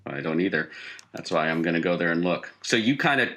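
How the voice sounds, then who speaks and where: Low-voiced male game master. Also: expressive, brisk, bright, forceful tone. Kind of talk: improvised tabletop game narration.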